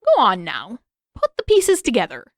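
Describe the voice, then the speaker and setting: A manic, cackling old king with a wild grin in his voice, put on by a woman reading a fan story aloud. He teases and baits his listeners, and his lines rise a little higher than her plain telling. The recording is close and dry, with no room sound. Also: huge pitch swings, moderate pace, dry recording. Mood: sly, playful. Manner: theatrical, teasing, grinning riddler.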